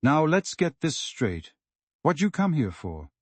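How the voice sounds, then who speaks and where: Scowling male police lieutenant for a detective story, done by a male reader; gruff, demanding, raised voice. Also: on the high side, sing-song, a little slow, dry recording, voice pushed up, exaggerated voice. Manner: gruff, commanding, interrogating. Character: stern, scowling, suspicious American police lieutenant.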